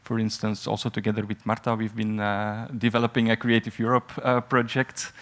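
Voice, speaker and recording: A man speaking on a conference panel, measured and hesitant with many fillers and restarts. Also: on the low side, level tone, fairly bright tone. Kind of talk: panel discussion answer.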